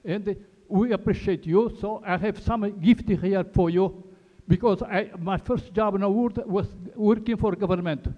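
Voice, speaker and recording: An older man with a Portuguese accent speaks earnestly and haltingly into a handheld mic, restarting words as he goes. His fairly high voice sounds dull and carries a long hall reverb. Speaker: audience member. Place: large wood-paneled hall, indoors.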